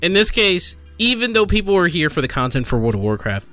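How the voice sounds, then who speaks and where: A man giving casual reaction commentary as a gaming video creator, halting and conversational as he thinks aloud and reads out comments. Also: high voice, sing-song, sharp tone, faint music behind.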